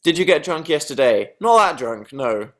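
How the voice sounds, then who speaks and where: A young man hosting a casual livestream, reading viewer comments aloud and answering them with sarcastic, deadpan, self-mocking jokes. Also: a little high, moderate pace. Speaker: livestream host.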